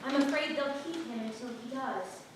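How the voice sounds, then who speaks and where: A woman's voice: sits high, moderate pace, natural voice. An actress playing an earnest, outspoken young townswoman in a staged reading of a period play. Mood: worried. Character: Alice, an earnest, outspoken young sweetheart of a jailed apprentice. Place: playhouse stage. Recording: echoey theatre from far off, noisy.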